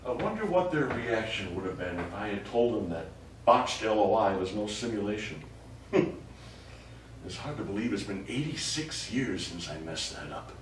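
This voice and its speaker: A man acting a wry astronaut with a secret, in a stage drama. The voice is fairly low and lively in pitch, musing and wistful. It is caught from a distance in an echoey room. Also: moderate pace. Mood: wistful, resolved. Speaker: stage actor in a one-act play. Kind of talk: live stage drama monologue. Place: small indoor studio theatre stage. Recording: echoey small theatre, distant mic, faint noise.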